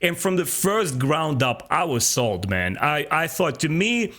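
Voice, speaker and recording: A man's voice: fairly high pitch, very animated, unhurried, pushed and bright. A man in his thirties hosting a casual fan podcast, talking with enthusiasm. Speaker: podcast host.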